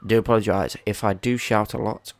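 A man's voice: on the low side, fairly even intonation, a little fast, dry recording. A young man with a cold, chatting casually and swearing now and then while commentating his own gameplay. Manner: rambling, chatty, swears at opponents. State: congested with a cold. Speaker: gaming YouTuber.

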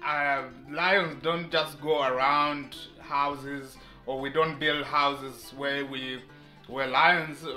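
A man's voice: medium pitch, pushed and bright. A man in his thirties from eastern Congo, whose first language is Mashi, talking casually to camera with frequent laughs and amused disbelief. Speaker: vlogger. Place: indoor living room with shelves. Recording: echoey room, faint music.

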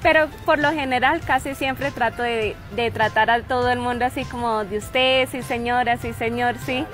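A young Colombian woman with a Santander accent speaks in a high, bright register. She talks in a punchy, emphatic way, checking in with little "¿sí?" tags. Faint music sits under her voice. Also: steady tone, normal speed. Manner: forceful, emphatic delivery with frequent "¿sí?" tags. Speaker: interviewee. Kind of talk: street interview anecdotes. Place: outdoor park with trees.

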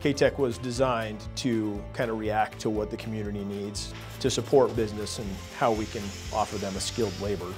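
A middle-aged man, a school administrator, speaking in a measured, promotional way in a documentary interview. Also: fairly low pitch, steady tone, music in the background. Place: indoor office.

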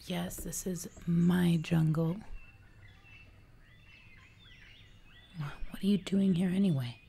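Woman acting menacing jungle giantess, ASMR whisper, condescending, dark soft tone, gravelly creak. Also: lowish voice, steady tone. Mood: haughty, suspicious. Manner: slow, condescending, close-up whispering and murmuring. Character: haughty jungle giantess queen, menacing, man-eating. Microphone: close mic in front of camera. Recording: close mic with audible background noise.

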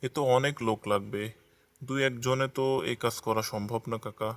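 Man voicing a bright-toned, eager young villager. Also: mid-range voice, steady tone, moderate pace, natural voice. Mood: curious. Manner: earnest, deferential. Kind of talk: animated fairy-tale dialogue.

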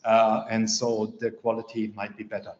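A man's voice: on the low side, monotone, moderate pace, a little mellow. An older man talking on a video call from home, thinking aloud with many fillers and gesturing as he talks. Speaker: meeting participant. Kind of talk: casual discussion on a video call. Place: home room indoors. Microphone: webcam or laptop mic on a video call. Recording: roomy, dull video call audio.

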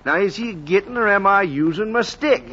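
A man's voice: high voice, animated, normal speed, sharp tone, slight background noise, heavily acted. An actor playing a hostile, bullying lawman, curt and threatening, in a 1940s radio drama. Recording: muffled 1940s radio recording.

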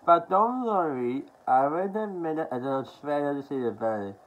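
A young adult man from Australia talking haltingly and with mumbled words in a casual show-and-tell to camera at home. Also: a little high, animated. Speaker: collector showing his media haul. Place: small furnished room at home, indoors. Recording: dull lo-fi sound with background noise.

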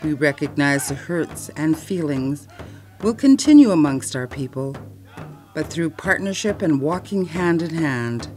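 A woman with a low, grounded voice reads a formal statement in a measured, dignified way. Her phrasing is slow and deliberate. Soft instrumental music plays beneath her. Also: lively intonation. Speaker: voice actor reading a historic acknowledgement. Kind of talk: solemn read statement.

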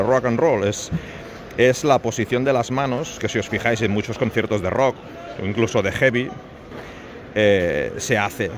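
Man in his 40s from Spain, low-ish voice, vlogger, phone held at arm's length, noisy market around him. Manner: chatty, asks viewers questions, jokes about his English. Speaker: vlogger, flea market dealer. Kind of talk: casual vlog chat to camera. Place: large covered flea market, outdoors. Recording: noisy open-air market background.

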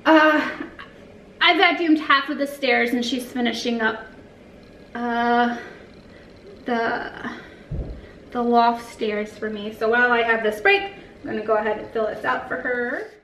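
A woman's voice: on the high side, a little slow, pauses often, a bit bright, a little hiss or hum. A woman in her thirties, a family vlogger, chatting casually to the camera in her kitchen with plenty of ums and uhs. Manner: chatty, loose, with fillers like um and uh. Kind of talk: casual vlog narration to camera. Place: kitchen of a family home, indoors. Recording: echoey room with faint music.

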